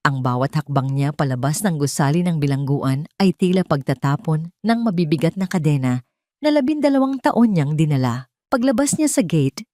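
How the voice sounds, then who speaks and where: A woman's voice: sits low, dark tone, no room sound, studio quality. A woman with a Filipino accent narrating a scripted, dramatic audio drama story.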